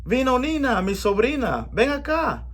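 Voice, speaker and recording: A man voicing a cheerful, affectionate uncle who calls out loudly in a raised voice. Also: very high-pitched, big pitch swings, moderate pace, pitched above the performer's own voice, heavily acted. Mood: jolly. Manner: loud, cheerful, calling out. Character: jolly tall uncle, boisterous and affectionate.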